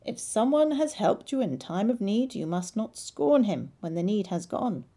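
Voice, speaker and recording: Woman voicing a stern storybook king, older father, mellow and gravelly. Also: mid-range voice, big pitch swings, normal speed.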